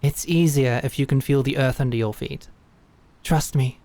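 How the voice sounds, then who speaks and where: A woman voicing a stern, teasing female knight who teaches swordsmanship, with a dry, measured manner. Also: extremely low pitch, dark tone. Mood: instructive. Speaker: sword instructor. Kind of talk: fantasy audio drama acting.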